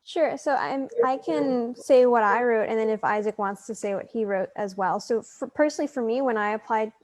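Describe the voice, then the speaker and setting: A woman's voice: fairly high pitch, moderate pace, darkish tone. A young woman answering interview questions earnestly, with lots of "like" fillers. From United States. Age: young adult. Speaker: scholarship recipient guest.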